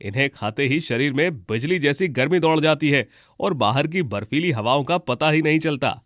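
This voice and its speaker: A man's voice: a little high, animated, moderate pace, fairly bright tone, no echo, clean studio sound. A man playing a cheerful, hungry woodcutter husband in a children's cartoon. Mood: contented. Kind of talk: Hindi children's cartoon voice acting.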